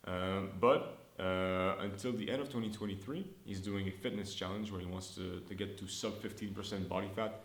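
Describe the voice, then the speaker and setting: A young man talks in a fairly low voice, chatty and upbeat, thinking out loud and restarting his sentences. He records himself in a room that gives the sound a noticeable echo. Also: steady tone, moderate pace, hardly any pauses. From Montreal, Canada. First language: French. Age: early 20s. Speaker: startup founder. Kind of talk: casual business vlog update. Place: indoor room, filmed on his own camera.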